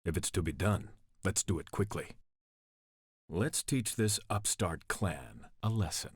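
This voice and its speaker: A stern samurai prince in a game cutscene. The voice is deep and gritty, recorded close and dry. He gives orders curtly, with brooding authority. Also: expressive, a little slow, husky, no room sound, heavily acted. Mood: commanding. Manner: curt, commanding. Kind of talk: video game cutscene acting.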